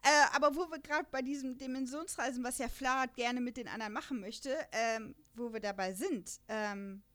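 A woman's voice: fairly high pitch, steady tone, normal speed, a bit bright. A chatty, enthusiastic woman co-hosting a podcast, talking casually about an audio drama and often breaking into laughter. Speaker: podcast co-host.

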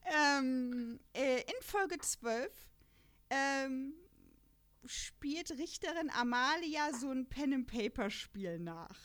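A woman's voice: high voice, expressive, very slow, fairly bright tone. A woman with a German accent chatting casually as a podcast host, in a jokey, self-deprecating manner. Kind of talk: casual podcast chat about an audio drama series.

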